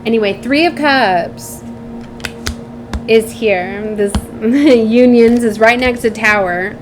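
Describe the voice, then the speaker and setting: A woman's voice: on the high side, takes it slowly, music in the background. A woman giving a casual, rambling spiritual tarot reading, informal and chatty, often trailing off and checking in with the listener. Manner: frequently seeks agreement with tag questions. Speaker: tarot reader and spiritual channel host.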